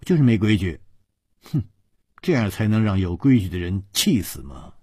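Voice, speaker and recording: A man voicing a spirited young princess in a storybook, petulant and exclaiming, quick to tease, rage or weep. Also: fairly low pitch, very animated, darkish tone, husky, dry recording, studio quality. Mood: smug, teasing. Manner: petulant, exclaiming, stammering when upset.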